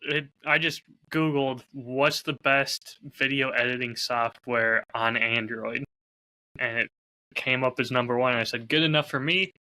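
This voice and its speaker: Low-key man with a very bright voice. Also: fairly even intonation, a little slow, poor audio. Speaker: podcast co-host and audio drama creator. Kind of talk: casual podcast chat.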